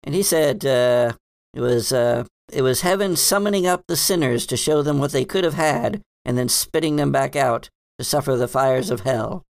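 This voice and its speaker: A man's voice: mid-range voice, moderate pace, vocal fry, dry recording, studio quality, lightly acted. A man playing a hesitant, bookish librarian in a tabletop game, rambling and hedging in an earnest, mildly dismissive way. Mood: hesitant, recounting. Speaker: game master. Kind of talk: improvised in-character tabletop roleplay.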